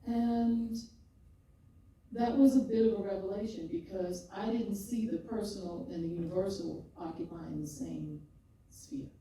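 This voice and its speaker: An older woman, a poet and podcaster, telling her story in an expressive, rhythmic way and often laughing, before a live audience. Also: medium pitch, a little slow. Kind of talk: reflective conversational storytelling. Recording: echoey hall, mic far off.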